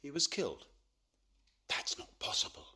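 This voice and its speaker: A man's voice: normal speed, pushed and bright, whispered. An actor playing a proud, troubled middle-aged painter and father in a radio play, emphatic and dismissive in manner. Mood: shaken, brooding.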